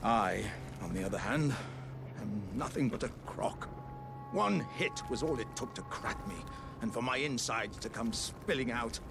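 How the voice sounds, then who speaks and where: An actor voicing a friendly, humble living jar warrior in a fantasy game, hesitant and earnest. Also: male voice, medium pitch, expressive, unhurried, gravelly. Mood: ashamed. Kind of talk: fantasy game character dialogue. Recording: music playing behind the voice.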